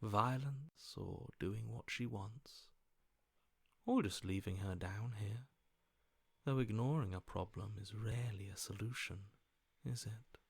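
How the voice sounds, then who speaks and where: A man's voice: fairly low pitch, slow pace, gravelly, slightly rough, no room sound, clean studio sound, heavily acted. A man voicing a cold, amoral voice inside someone's head in a video game, detached, matter-of-fact and dryly sardonic. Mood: detached, philosophical. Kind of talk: video game character acting.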